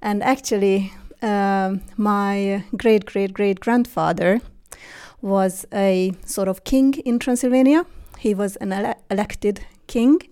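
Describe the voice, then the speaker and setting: Hungarian woman in her forties, warm dark tone, unhurried and halting, interview guest. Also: medium pitch, clean tone. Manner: hesitant, many fillers and restarts. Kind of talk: casual interview conversation.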